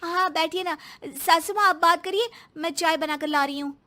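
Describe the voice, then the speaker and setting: A woman's voice: extremely high pitch, moderate pace, sharp tone, voice pushed up. A woman voicing a whiny, flustered young daughter-in-law in a cartoon story, exclamatory and dramatic. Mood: flustered, eager.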